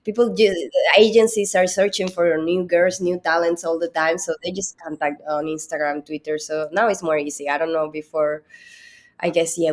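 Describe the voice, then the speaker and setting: A young Venezuelan woman with a Spanish accent, a guest in an interview, easygoing and giggly, pausing often with uhs as she searches for English words. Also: on the low side. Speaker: podcast guest. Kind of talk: casual interview chat.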